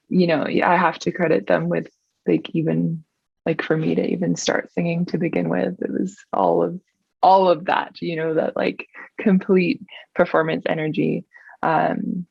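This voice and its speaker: Gravelly New woman, chatty. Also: fairly low pitch, darkish tone, no echo, muffled recording. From New Zealand. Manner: chatty, frequent "like" and "um" fillers. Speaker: singer-songwriter guest. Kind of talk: casual interview chat.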